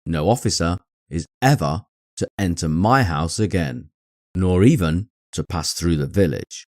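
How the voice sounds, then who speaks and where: A man voicing a dry, sardonic middle-aged English gentleman and father in an audiobook, with a wry, deadpan manner. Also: sits low, measured pace, no room sound. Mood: mock-stern. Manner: deadpan, wry, mock-stern. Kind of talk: acted dialogue in audiobook reading.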